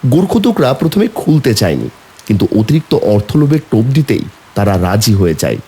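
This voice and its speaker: A man's voice: lowish voice, big pitch swings, fairly quick, mellow, husky, exaggerated voice. A man narrating a horror story in the first person as a somber young man, brooding and suspenseful. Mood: eerie, suspenseful. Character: somber young man recounting an eerie burial.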